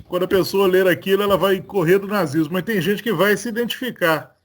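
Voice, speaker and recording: A man's voice: high voice, a little fast. A man with a Brazilian Portuguese accent hosting a political commentary talk, earnest and argumentative as he builds his points. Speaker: host of political commentary show.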